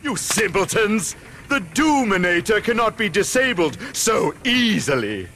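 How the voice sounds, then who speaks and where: Cartoon game villain: pompous mad scientist, bright forceful high voice, petulant and theatrical. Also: male voice, very animated, unhurried, a little hiss or hum, heavily acted. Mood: scornful. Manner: melodramatic, over-enunciated ranting. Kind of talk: cartoon video game acting.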